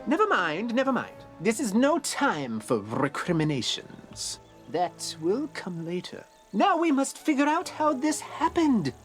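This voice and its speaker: Game role: self-important schoolgirl wizard, haughty, swooping delivery. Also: mid-range voice. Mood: flustered, pompous. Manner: haughty, theatrical. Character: bossy, self-important young female wizard student. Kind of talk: scripted video game character acting.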